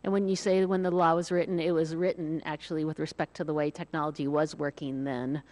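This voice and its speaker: A middle-aged woman in a large hearing room, asking a witness polite, deliberate questions into a desk microphone. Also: low-pitched, level tone, darkish tone. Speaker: committee member questioning witnesses. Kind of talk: formal questioning.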